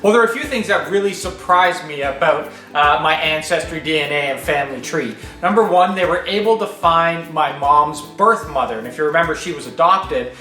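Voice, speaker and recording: A middle-aged man from Canada, co-hosting a travel vlog in relaxed casual chat, thinking aloud. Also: a little high, brisk, few pauses, faint music behind. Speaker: travel vlogger co-host.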